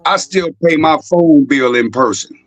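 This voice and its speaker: Halting man hosting a casual stream. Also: on the high side, dry recording. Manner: rambling, halting, many fillers. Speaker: host. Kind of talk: casual chat on a live stream.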